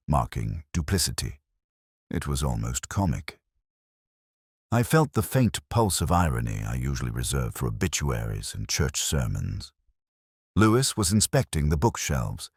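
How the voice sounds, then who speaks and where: A man playing a very deep-voiced, brooding detective. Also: big pitch swings, slightly rough, dry recording, studio quality, exaggerated voice. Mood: wry, melancholic. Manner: measured, reflective, literary phrasing. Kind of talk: scripted first-person detective narration, audio drama.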